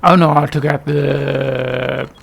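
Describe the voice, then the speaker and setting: A man with a gravelly, fry-edged voice commentates casually on a game. He mutters and thinks aloud as he goes, at an ordinary male pitch. A light layer of noise sits in the recording. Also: steady tone, takes it slowly. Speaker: gaming video host.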